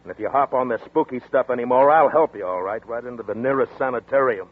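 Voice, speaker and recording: An actor playing a blunt, skeptical police detective in 1940s radio drama style, heard over a tinny old broadcast. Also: male voice, medium pitch, very noisy, close to an everyday voice. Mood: stern. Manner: brisk, gruff, no-nonsense.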